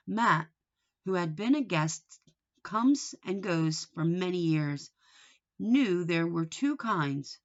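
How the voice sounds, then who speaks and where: A woman reading a children's book aloud in an expressive storytelling manner. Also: fairly low pitch, highs cut off. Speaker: storybook reader.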